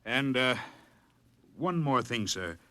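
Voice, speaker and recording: A deferential male engineer in 1950s radio drama style. His voice is bright and rises and falls a lot. He reasons earnestly and a little hesitantly, objecting with respect and concern. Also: mid-range voice, animated, slow, no echo.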